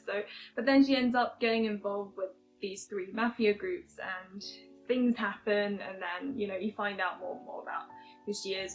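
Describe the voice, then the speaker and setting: A woman's voice: medium pitch, poor audio. A young woman with an English accent chatting casually through a game review, hesitant and full of fillers and restarts.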